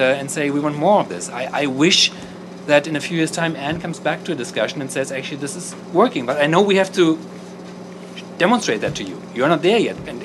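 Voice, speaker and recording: Male panelist, earnest and reassuring, clear background hum. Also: medium pitch. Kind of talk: panel discussion remarks. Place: conference room.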